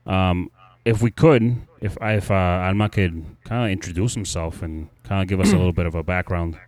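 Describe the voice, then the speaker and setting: Low-voiced guy hosting a podcast, smooth tone, super dry mic, laid back. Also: fairly quick, a little mellow, no room sound. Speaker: podcast host. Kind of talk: casual podcast chat.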